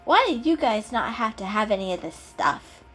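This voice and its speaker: A woman's voice: on the high side, very animated, normal speed, a bit bright, soft background music, voice pushed up. A woman voicing a grumpy, skeptical female cat in a storybook, curt and snippy. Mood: weary, impatient.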